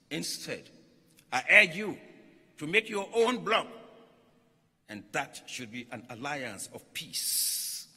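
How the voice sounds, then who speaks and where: A man, a religious leader reading a formal conference message aloud with emphatic, deliberate oratory and repeated phrases for weight. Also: on the high side, bright, forceful tone. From Ghana. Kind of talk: formal read-out conference speech. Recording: long hall reverb.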